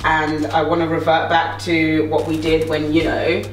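A woman's voice: sits low, completely flat delivery, moderate pace, background noise. A young woman hosting a casual cooking chat at home, talkative and teasing. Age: young adult. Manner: chatty, playful teasing. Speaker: singer hosting a cooking video. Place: home kitchen. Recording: roomy kitchen echo, mic at a distance.

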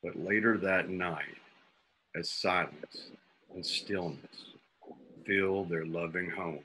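A man reads descriptive narration for a radio drama. His voice is very low yet crisp and forceful, with slight background noise. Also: fairly even intonation, takes it slowly, rough recording. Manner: descriptive, sounding out effects. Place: dim home room, video call. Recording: faint background noise on video call.

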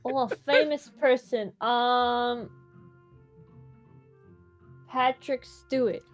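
Higher-voiced woman in playful chat. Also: steady tone, drawn-out words. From Britain. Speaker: guest player in a word game. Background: light music.

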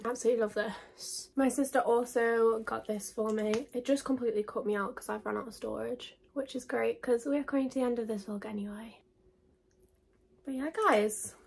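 Young English woman, 20s, breathy, chatty beauty vlogger talking to camera. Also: a little high. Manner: chatty, gushing, lots of likes and literallys. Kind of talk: chatty get-to-know-me vlog.

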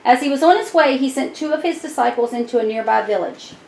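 A woman's voice: high voice, lively intonation, fast, gravelly, a little mellow, a little hiss or hum. A middle-aged woman hosting a children's puppet show, telling Bible stories in a simple, explanatory way. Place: small decorated room indoors. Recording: echoey room.

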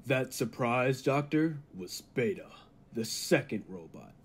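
Man acting a slow, menacing robot mastermind. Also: mid-range voice, expressive, a little hiss or hum. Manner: deliberate, menacing, grandiose. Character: cold, megalomaniac humanlike male robot bent on world mastery. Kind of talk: old-time radio drama acting.

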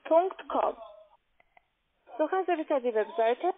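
A high female voice with a foreign accent comes down a narrow, muffled phone line. She is a call center agent who walks a customer through steps, pressing and repeating her requests. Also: animated, slow pace. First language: not German. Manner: insistent, repetitive. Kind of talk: phone sales instructions.

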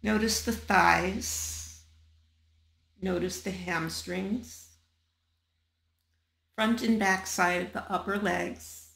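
A woman guiding a body scan meditation in a calm, measured manner, leaving long pauses between short phrases. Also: medium pitch, creaky, reverberant. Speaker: meditation guide.

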